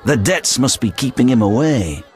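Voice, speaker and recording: An actor playing a smooth-talking dice gambler in a fantasy video game, polite, flowery and insinuating. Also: male voice, medium pitch, lively intonation, normal speed, lightly acted. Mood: sly. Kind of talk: video game dialogue acting.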